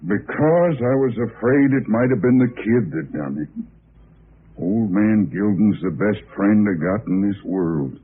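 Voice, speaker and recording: An actor playing a wary, loyal male cowhand in a 1950s radio western, husky and folksy, on a narrow old recording. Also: fairly low pitch, expressive, unhurried. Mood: somber. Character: wary, loyal frontier cowhand wrongly accused of murder.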